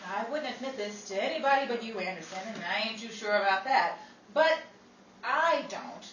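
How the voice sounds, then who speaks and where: A woman's voice: on the high side, expressive, normal speed, fairly bright tone. A woman playing a sassy, flamboyant Broadway showgirl in a 1930s-style radio comedy, slangy and theatrical. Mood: wisecracking. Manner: theatrical, slangy, mock-indignant. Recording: roomy, distant, noisy recording with crowd.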